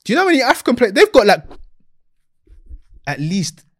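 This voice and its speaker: A man co-hosting a casual football chat, animated and slangy, laughing often. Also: very high-pitched, a little fast. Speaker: podcast co-host.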